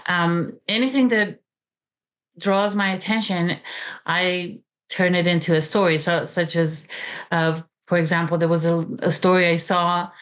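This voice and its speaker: Romanian-accented woman in her forties, low voice, halting, pausing, author guest. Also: fairly even intonation. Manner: halting, many "um"s and restarts. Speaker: author and college teacher. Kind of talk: interview guest talk.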